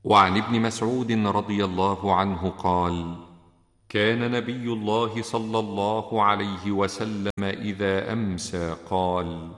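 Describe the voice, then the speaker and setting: A man reading hadith and supplications in classical Arabic, solemn and deliberate, with drawn-out phrase endings. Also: on the low side, steady tone, fairly bright tone. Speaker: religious reciter. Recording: echoey with long reverb.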